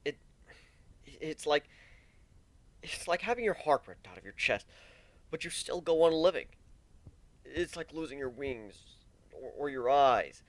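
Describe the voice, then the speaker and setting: A man voicing a timid, self-loathing, grieving pegasus pony for a fan fiction reading, with halting, stammering delivery. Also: a little high, expressive, no room sound, voice pushed up.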